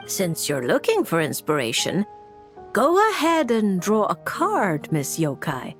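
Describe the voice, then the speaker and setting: An actress playing a composed, mysterious noblewoman fortune-telling mage, theatrical and deliberate, in a video game. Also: medium pitch, wildly swooping pitch. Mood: wistful, inviting. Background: music.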